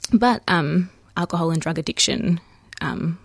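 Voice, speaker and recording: Australian woman, 30s, low voice, measured radio guest, dry studio sound. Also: vocal fry, no echo. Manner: measured, explanatory, frequent ums. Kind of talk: radio interview.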